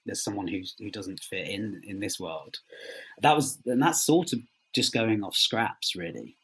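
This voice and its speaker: A man being interviewed as a writer, speaking reflectively and haltingly as he searches for words. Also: fairly low pitch, animated, slightly rough, low-quality recording.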